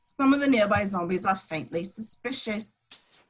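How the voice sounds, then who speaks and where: A woman's voice: mid-range voice, lively intonation, normal speed. A woman reading stage directions as scripted narration at an amateur table read.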